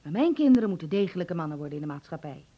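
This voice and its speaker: A stern, proud mother of middle age in a radio drama. Her low voice swings widely as she scolds with dramatic emphasis. The recording is somewhat muffled. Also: very animated, rapid-fire. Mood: stern, insistent. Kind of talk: Dutch radio play acting.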